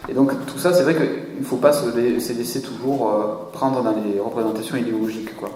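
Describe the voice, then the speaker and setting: A man talks through an audience question, halting and repeating himself as he searches for the right word. His voice rings out in a large, reverberant hall with a faint hum of the room behind him. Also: medium pitch, steady tone, mellow. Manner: hesitant, searching for words. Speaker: conference speaker. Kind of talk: unscripted conference answer. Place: conference hall.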